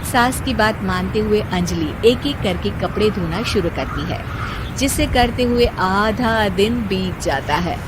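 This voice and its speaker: A woman narrating a cartoon bedtime story in a steady, plain storytelling manner. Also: medium pitch, measured pace, very noisy.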